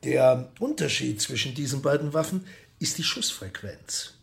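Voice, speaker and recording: A man's voice: medium pitch, expressive, moderate pace, creaky. A man playing a matter-of-fact expert in a radio drama, explaining precisely and instructively like a documentary narrator. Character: matter-of-fact male expert on medieval weaponry.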